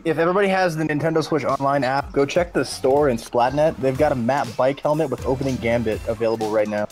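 A man's voice: mid-range voice, quick pace. Male player, ordinary mid register, informal game talk with light music behind. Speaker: player in a gaming group. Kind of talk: casual game chat.

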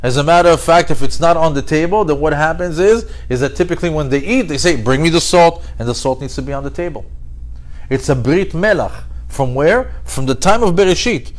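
A man's voice: fairly high pitch, expressive, fairly quick. A man giving a conversational Torah lesson as a religious teacher, telling it like a story with rhetorical questions and repeated phrases for emphasis. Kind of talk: animated Torah lesson with acted-out dialogue.

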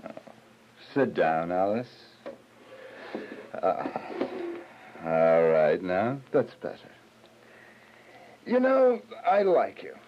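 A man's voice: low voice, big pitch swings, very slow, long pauses, heavily acted. A man playing a patronizing official questioning a prisoner in a radio drama. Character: calm, probing male interrogating official. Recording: muffled, slightly noisy recording.

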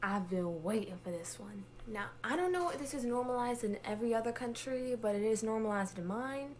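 Young woman, deadpan, hushed near-whisper at times, phone selfie video. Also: fairly high pitch, brisk. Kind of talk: casual social video monologue.

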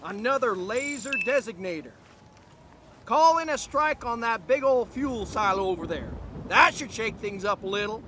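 An actor voicing a gleeful, excitable army private who loves explosives in a video game. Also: male voice, high voice, normal speed. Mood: cocky, upbeat. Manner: excitable, loud, cocky. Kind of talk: video game character dialogue. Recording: noisy battlefield gunfire and vehicles. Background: gunfire, vehicles, battle ambience.

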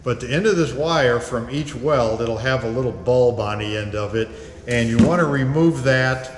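A man's voice: medium pitch, moderate pace, a little hiss or hum. A middle-aged man teaching auto body welding in a garage workshop, explaining things in a plain, practical, folksy way. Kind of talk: hands-on welding demonstration. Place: garage workshop with red tool chests. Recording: roomy, echoey workshop.

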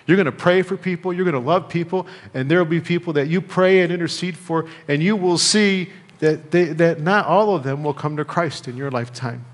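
A middle-aged American man preaching a sermon as a pastor, speaking in measured phrases with pauses and repetition. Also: fairly high pitch. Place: church sanctuary, indoors at a lectern. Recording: reverberant church hall.

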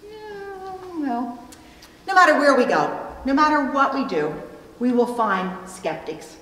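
A middle-aged woman pastor delivering a sermon in a measured, deliberate way, recorded in a church room. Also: high voice, very animated, a bit bright. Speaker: pastor preaching. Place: indoor church room at a pulpit. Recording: echoey hall, long reverb, distant mic.

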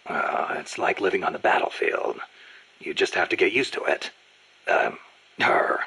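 A man playing a gruff, fatherly veteran soldier in a video game, gravelly and raspy, speaking in a measured, reassuring way. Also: low voice, bright, forceful tone, full character voice. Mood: awkward. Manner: measured, reassuring, halting on awkward subjects.

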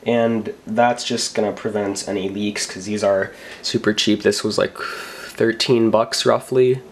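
Young male vlogger, slightly low voice, getting over a cold, loose rambling delivery, camera mic at a bedroom desk. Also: steady tone, measured pace. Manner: rambling, filler-heavy, self-correcting. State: recovering from a cold. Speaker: tech vlogger. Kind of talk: casual show-and-tell.

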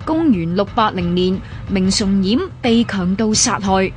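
A woman's voice: medium pitch, measured pace. A woman with a Hong Kong Cantonese accent reading scripted historical narration. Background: background music.

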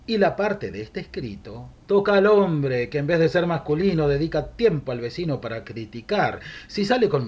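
Older Rioplatense man, husky voice, wildly swooping pitch, mock-gossip verse read to a webcam at home. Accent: Rioplatense Spanish. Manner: theatrical, mock-gossipy, chuckling asides. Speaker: monologue host. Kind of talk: recited humorous verse monologue. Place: home room, indoors. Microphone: webcam at desk.

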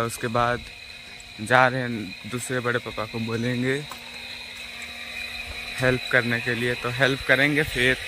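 A man's voice: medium pitch, noisy background. A young man, chatting casually to his viewers while doing chores outdoors in a village, filming himself on a phone at arm's length. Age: young adult. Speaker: village vlogger. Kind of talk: casual vlog talk to viewers. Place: outdoors in village fields and lanes. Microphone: phone selfie at arm's length.

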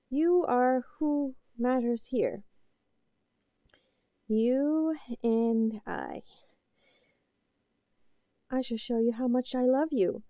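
A woman playing an obsessive, possessive, lovesick captor in an audio roleplay, speaking haltingly and pleadingly with an unhinged edge. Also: fairly high pitch, no room sound, studio quality. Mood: unsteady, tender. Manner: halting, pleading, swinging between soft cooing and outbursts. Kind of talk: scripted audio roleplay, intimate and melodramatic.

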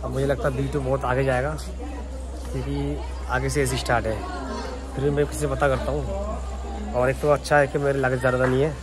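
A man's voice: mid-range voice, level tone, brisk, pauses often, crowd noise. A young man from Assam, India, chatting casually to camera as a travel vlogger on a phone held at arm's length. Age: young adult. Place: outdoors in village, station platform and moving train. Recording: noisy outdoor and train phone recording. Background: street, vehicle and train noise.

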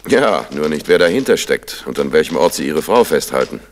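An actor playing a businesslike middle-aged police inspector in a crime radio play, matter-of-fact and authoritative. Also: male voice, a bass voice, expressive, a little fast, slight background noise. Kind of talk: 1970s German crime radio play acting.

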